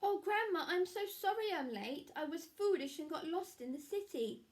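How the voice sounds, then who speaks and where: A woman's voice: extremely high pitch, animated, moderate pace, voice pushed up. A woman voicing an earnest, apologetic, wide-eyed little girl in a read-aloud storybook.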